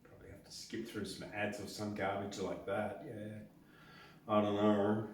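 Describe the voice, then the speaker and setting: An Australian man with a croaky, dry throat, chatting hesitantly on stage at an open mic between songs, repeating words as he decides what to play. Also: on the low side, level tone, normal speed, a little hiss or hum. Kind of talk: casual banter between songs. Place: indoor venue hall. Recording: echoey hall from far off.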